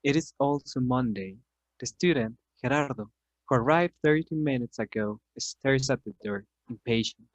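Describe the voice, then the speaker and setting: A man's voice: mid-range voice, moderate pace, dry recording, lo-fi sound. A man reading scripted narration for an amateur radio play, setting scenes as a storyteller.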